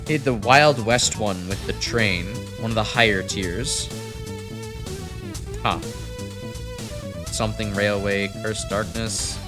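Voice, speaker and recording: A congested man giving casual, rambling gameplay commentary as a streamer, full of fillers and restarts. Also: lowish voice. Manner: rambling, self-correcting, frequent fillers. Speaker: gaming streamer. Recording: game music in background. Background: game music.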